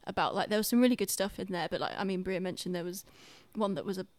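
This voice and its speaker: A woman's voice: mid-range voice, fast talker, dry recording. A woman talking casually and earnestly on a podcast, explaining things in an explanatory way.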